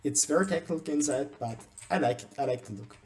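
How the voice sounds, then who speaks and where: A young Austrian man with an Austrian German accent gives a game base tour in English, his second language. His voice is dark and mellow, and he stumbles often, repeating and restarting words as he searches for them. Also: fairly high pitch, quick pace. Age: young adult. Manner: hesitant, frequent restarts and stumbles. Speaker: gaming channel host.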